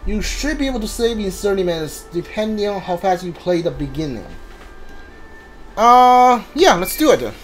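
A man's voice: sits high, very animated, normal speed, soft background music. A man giving casual, rambling live game commentary, hesitant and thinking aloud. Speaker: streamer playing a game blind. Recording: clearly noisy background.

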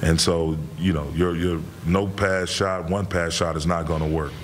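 A middle-aged man, a head coach, answering reporters' questions after a game in a measured way, with many fillers and repeated words. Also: low voice, fairly even intonation, slight background noise. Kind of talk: postgame press conference answers. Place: press room in front of a sponsor backdrop.

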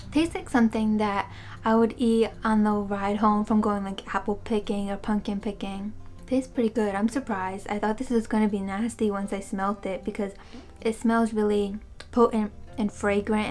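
A woman's voice: medium pitch, darkish tone. A young woman chatting casually through a snack taste test, thinking aloud with lots of fillers, recorded on a webcam in a small room. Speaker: vlogger reviewing snacks. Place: small room, seated on a couch.

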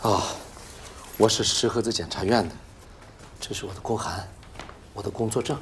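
An actor playing a middle-aged male prosecutor in a film, formal, grave and measured. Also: on the low side, steady tone, a little hiss or hum, close to an everyday voice. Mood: formal, serious.

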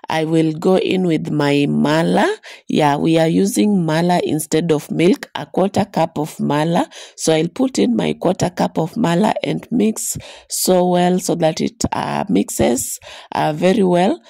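Baking vlog host, woman, low raspy voice from a cold, dry close voice-over. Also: a little slow, warm tone, no room sound. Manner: instructional, many fillers and restarts. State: hoarse from a bad cold. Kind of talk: voice-over for a cooking video. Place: voice-over recorded separately, dry room.

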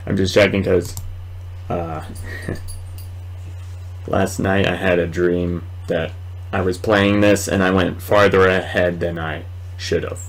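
A man in his twenties giving casual, rambling let's play commentary at home, halting at times. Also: deep voice, flat delivery. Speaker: gaming video host. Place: small room at home, indoors.

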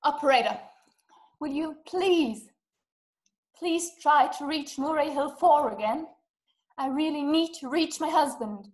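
High-pitched, anxious, haughty wife acted by a young woman. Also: unhurried, pauses often, a little mellow. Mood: frightened, confused. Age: young adult. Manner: agitated, dramatic, imperious. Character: anxious, haughty, bedridden wealthy wife, easily exasperated. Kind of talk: radio-play acting over video call. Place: small room indoors. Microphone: webcam video call.

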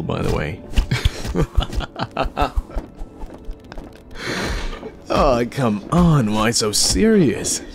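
Man playing a slow-talking, cocky flirtatious supervillain. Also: mid-range voice, gravelly, noisy background. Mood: teasing. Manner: teasing, smug, chuckling banter. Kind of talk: ASMR roleplay acting.

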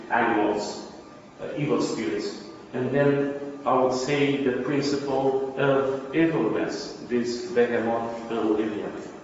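A man's voice: fairly high pitch, a little hiss or hum. A man with a Romanian accent giving an academic lecture, explaining step by step and answering his own questions. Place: lecture room. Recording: distant, echoey, dull lecture hall recording.

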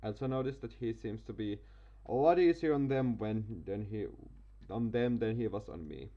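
A man's voice: on the low side, normal speed, highs cut off. A man reading a visual novel aloud on camera, casual and tripping over words. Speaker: gaming youtuber. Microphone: desk mic, webcam.

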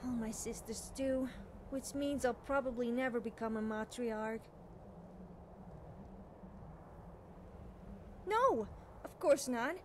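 Woman doing a young witch student for a fantasy game, highish voice, nervous n chatty, sweetly amoral, some noise behind her. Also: measured pace, lightly acted. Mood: defensive, uneasy. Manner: chatty, hesitant, girlish backtracking. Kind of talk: video game dialogue acting.

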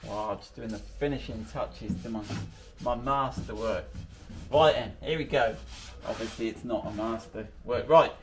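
A man's voice: medium pitch, moderate pace. A middle-aged British man chatting casually as he works in a workshop garage, explaining his fix step by step and joking at himself. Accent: British English. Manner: chatty and self-mocking, thinking aloud. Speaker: workshop presenter. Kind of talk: casual how-to chat while working.

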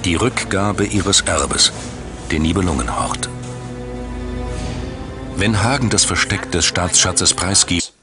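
Deep-voiced man narrating a documentary. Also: lively intonation. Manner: measured, dramatic storytelling. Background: background music.

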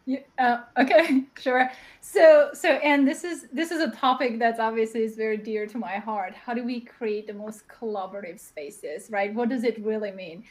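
A young woman with a high voice, answering as a panelist on a video call, earnest and thinking aloud, often tagging her points with "right?". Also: fairly even intonation, moderate pace. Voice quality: high-pitched voice. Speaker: panelist, platform co-founder. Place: home room. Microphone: webcam on video call.

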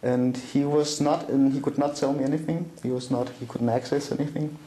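A man's voice: mid-range voice, steady tone, brisk, warm tone, reverberant. A middle-aged man with a Danish accent recounting a personal story in an interview, with many fillers and restarts. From Denmark. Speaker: interview guest. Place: small room indoors, seated at a table.

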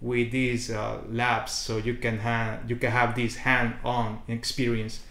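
Honduran man telling lively stories. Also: medium pitch, a little slow. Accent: Honduran Spanish. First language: Spanish. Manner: animated storyteller with frequent restarts and fillers. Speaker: international student guest. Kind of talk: casual interview answers. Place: small colorful lounge indoors. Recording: echoey room.

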